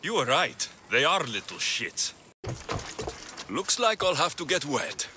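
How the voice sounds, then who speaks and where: An actor playing a dry, sarcastic young mercenary hero of ancient Greece in a video game, with music behind him. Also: male voice, a little high, very animated, a little slow, noisy background. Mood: exasperated. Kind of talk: video game cutscene acting.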